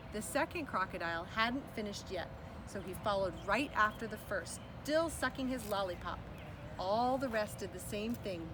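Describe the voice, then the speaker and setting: A woman reading a children's book aloud as an expressive narrator. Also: mid-range voice. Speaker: children's story reader. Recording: distant mic with clear background noise.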